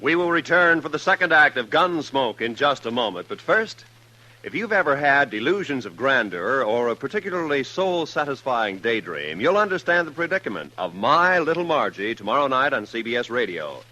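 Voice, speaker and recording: A man's voice: medium pitch, very animated, normal speed, sharp tone, low-quality recording. An American man announcing in polished, emphatic 1950s network radio style, reading a script. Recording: vintage 1950s radio recording.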